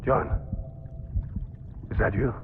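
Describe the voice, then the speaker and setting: Guarded, gruff man played by an actor for a game scene, dull lo-fi sound under loud noise. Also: slow pace, natural voice. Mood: tense, startled. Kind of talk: cinematic video game acting.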